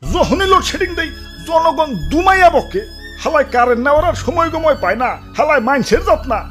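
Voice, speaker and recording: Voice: high-pitched, expressive, brisk, fairly bright tone, faint music behind. A performer voicing a self-pitying, defensive cartoon electricity worker who pleads plaintively in speech. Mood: aggrieved pleading. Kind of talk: comic cartoon monologue.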